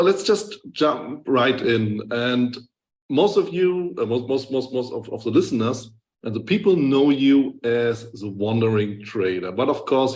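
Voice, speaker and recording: A man's voice: mid-range voice, darkish tone. Man hosting a conversational podcast. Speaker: podcast host.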